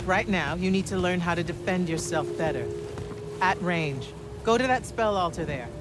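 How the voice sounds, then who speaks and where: A woman's voice: lowish voice, close to an everyday voice. A stern female battlemage mentor played by an actress gives orders in a fantasy game. She is composed and commanding, with dry, unimpressed authority. Music plays prominently behind her. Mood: calm, instructive. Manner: measured, commanding, dryly unimpressed. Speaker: military magic instructor. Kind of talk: scripted video game dialogue.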